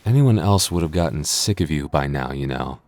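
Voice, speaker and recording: A man's voice: extremely low pitch, lively intonation, a little fast, darkish tone, no echo. A man playing a flustered young man with a secret crush in an intimate boyfriend ASMR roleplay, stammering and getting defensive. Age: young adult.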